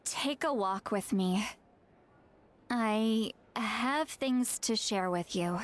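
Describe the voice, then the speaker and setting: A woman's voice: fairly high pitch, extremely slow. A woman voicing a flustered, defensive girlish video game character who speaks dramatically and is easily embarrassed. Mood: resigned.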